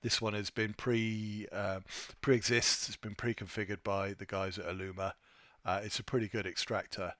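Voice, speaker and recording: A man presenting an informal software tutorial, thinking aloud in a somewhat hesitant way as he demonstrates. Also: on the low side, little pitch movement, normal speed, dry recording, studio quality. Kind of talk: informal screen-share walkthrough.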